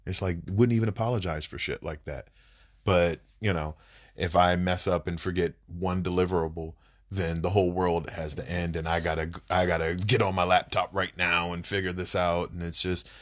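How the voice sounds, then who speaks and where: Congested deep-voiced man, nasal. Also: level tone, normal speed. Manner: emphatic, rambling, blunt. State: congested, under the weather. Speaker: podcast co-host. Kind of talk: casual couples podcast chat.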